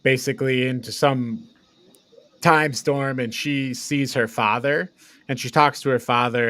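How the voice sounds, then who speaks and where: A man's voice: mid-range voice, fairly bright tone, smooth, no room sound. Casual man podcaster in a dry-sounding space. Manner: informal, loosely paraphrasing retelling. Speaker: podcast host. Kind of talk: casual movie recap and review chat.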